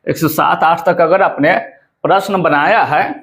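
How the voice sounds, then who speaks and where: A man's voice: fairly high pitch, a little slow, fairly bright tone. A man with an Indian accent presenting an informational explainer to viewers, often repeating phrases for emphasis. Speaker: presenter of exam news videos. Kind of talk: informational explainer to camera or voice-over, addressing viewers.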